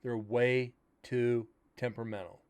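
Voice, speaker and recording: A man hosting a paranormal show with a loud, carrying voice. He comments bluntly on old recordings in a casual, opinionated way. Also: medium pitch, flat delivery, unhurried, slight background noise. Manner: blunt and opinionated, explains gear plainly. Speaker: paranormal investigator host.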